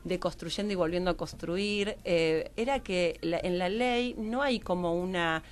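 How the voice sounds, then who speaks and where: A woman's voice: lowish voice, clean tone. A woman with an Argentine accent speaking as a guest in a radio interview, searching for words and repeating herself as she explains. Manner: halting, repetitive, searching for words. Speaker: guest researcher on gender and health law.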